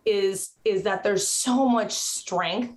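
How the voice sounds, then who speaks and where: A woman's voice: medium pitch, slow. Chatty woman on a video call. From Mexico. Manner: chatty, many fillers and restarts. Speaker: interview guest, actress and writer. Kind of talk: casual video-call interview. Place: indoors at home, curtained room.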